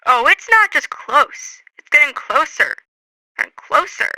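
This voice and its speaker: A woman playing an excitable skywatcher in an audio drama. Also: high voice, measured pace, dry recording, heavily acted, rough recording. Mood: alarmed.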